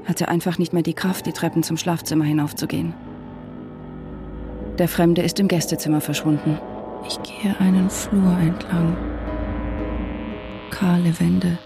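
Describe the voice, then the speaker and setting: An actress in a German radio drama playing a wife's inner voice, wary, bitter and fearful, in intimate monologue. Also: female voice, lowish voice, gravelly. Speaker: actor narrating in a radio play.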